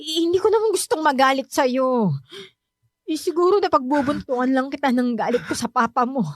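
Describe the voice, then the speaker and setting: A wounded middle-aged Filipino mother, played by a woman in a radio drama. She speaks in a high voice, bitter and exasperated, with heavy emotion. The recording is close and dry. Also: moderate pace, no room sound, natural voice. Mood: sorrowful, apologetic.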